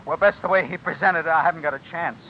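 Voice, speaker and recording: An actor in an old radio drama playing an anxious editor being blackmailed, halting, nervous and evasive. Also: male voice, on the high side, close to an everyday voice. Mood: agitated fear. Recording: dull, noisy old radio recording.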